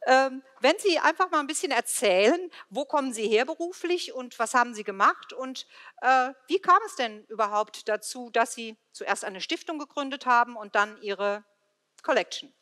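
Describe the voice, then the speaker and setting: A middle-aged woman presents to a live event through a headset mic. Her voice sits on the high side and is very bright. She phrases things emphatically, like a practiced public speaker. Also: animated, normal speed. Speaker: moderator of a panel talk. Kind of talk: stage presentation and panel moderation. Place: indoor event stage. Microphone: headset microphone.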